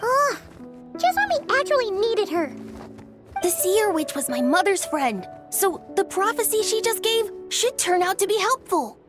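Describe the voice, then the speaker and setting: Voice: very high-pitched, big pitch swings, a little slow, music in the background, exaggerated voice. An actor in a video game voicing a small, eager, polite little dragon with an earnest, chatty way of talking. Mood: earnest pleading.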